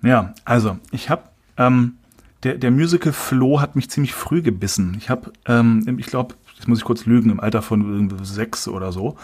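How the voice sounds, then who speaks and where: A man's voice: mid-range voice, moderate pace, a little mellow, breathy edge. A man from Berlin, a musical performer, answering interview questions remotely in a hesitant, self-mocking way with many filler sounds. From Berlin, Germany. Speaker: podcast guest, musical performer. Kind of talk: casual interview chat. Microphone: remote call audio.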